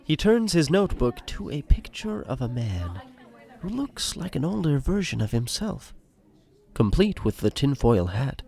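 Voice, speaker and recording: A man's voice: mid-range voice, sing-song, measured pace, darkish tone. A man playing the wry, dramatic narrator of a scripted audio drama.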